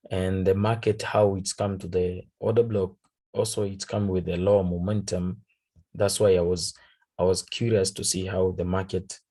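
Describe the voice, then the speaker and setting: Slow, deep-voiced Tanzanian man. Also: dark tone, slightly rough. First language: Swahili. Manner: halting, searching for words. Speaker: forex trader. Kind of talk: casual trading recap over a chart.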